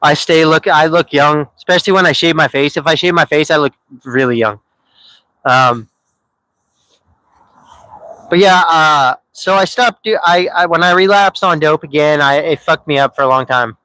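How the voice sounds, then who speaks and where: A man's voice: fairly high pitch, moderate pace, sharp tone, no room sound, poor audio. A man in his thirties telling his own story on a live stream, candid and rambling, with many fillers and restarts. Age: 30s.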